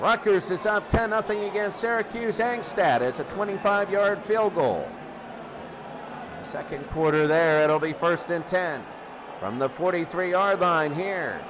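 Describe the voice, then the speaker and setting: A man's voice: high-pitched, lively intonation, unhurried, noisy background. A man doing live football play-by-play in short, repetitive sentences, as the announcer. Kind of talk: live play-by-play sports commentary.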